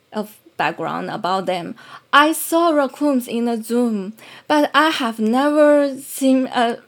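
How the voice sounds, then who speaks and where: A woman from southern China with a Chinese accent, talking conversationally as an illustrator in an interview. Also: on the high side.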